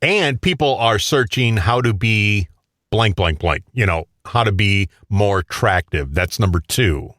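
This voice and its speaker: Male radio co-host, slightly low register but very varied intonation, casual banter and list reading, dry sound. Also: lively intonation, measured pace, a bit bright, dry recording.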